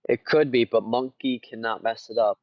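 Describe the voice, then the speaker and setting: A dull, lo-fi but dry recording of a man co-commentating a card game. He talks casually at an ordinary pitch, in short remarks that he tends to repeat. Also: fairly even intonation, moderate pace, dry recording.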